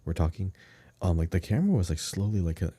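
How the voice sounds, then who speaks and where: A man's voice: low-pitched, sing-song, fast, warm tone, no echo. A man co-hosting a podcast, chatting casually about a video game and rambling through descriptions in short phrases. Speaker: podcast co-host.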